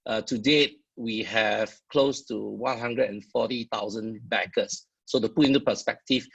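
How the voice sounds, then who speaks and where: A man with a Singaporean accent giving a founder's talk, pausing often with "uh" fillers. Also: on the low side, fairly even intonation, creaky, a bit bright, slightly rough, muffled recording. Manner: halting, frequent "uh" fillers. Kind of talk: presentation with many "uh" fillers.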